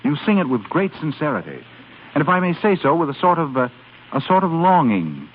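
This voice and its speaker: Casting: a smooth, secretive romantic admirer, teasing and ardent, very lively pitch, tinny vintage radio sound. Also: male voice, fairly quick. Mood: ardent, courtly. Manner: smooth, teasing, with hesitant throat-clearing. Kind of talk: 1930s radio drama acting. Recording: tinny, noisy 1930s radio broadcast.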